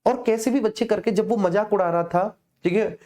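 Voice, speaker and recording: A woman's voice: mid-range voice, moderate pace, dark tone. A woman teaching an exam coaching class informally, explaining didactically and often repeating herself to check that students follow. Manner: didactic, repetitive, checking understanding with frequent tag phrases.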